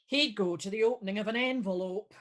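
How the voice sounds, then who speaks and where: A woman's voice: medium pitch, fairly quick, close to an everyday voice. A woman playing a prim, haughty, disapproving committee member in a radio pantomime, snippy and clipped. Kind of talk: pantomime radio play acting.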